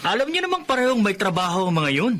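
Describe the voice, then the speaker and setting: Man playing a hurried grown son, bright tense voice, slightly high. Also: very animated, slight background noise. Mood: brusque. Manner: brusque, hurried speech. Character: hurried adult son, brusque and distant. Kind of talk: radio drama acting.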